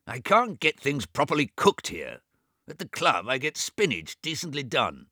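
A man's voice: on the high side, big pitch swings, unhurried, pushed and bright, dry recording, studio quality, voice pushed up, full character voice. A man reading aloud voices an ailing, boastful old man in his late seventies who complains petulantly. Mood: grumbling. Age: over 70. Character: querulous sick old man, bedridden and boastful.

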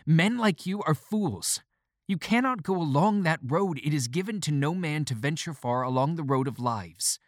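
A man voicing the story's first-person character, passionately earnest and turning shrill as he scolds. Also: lively intonation, moderate pace, dry recording, clean studio sound, natural voice. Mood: impassioned. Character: earnest, impassioned young male narrator-character.

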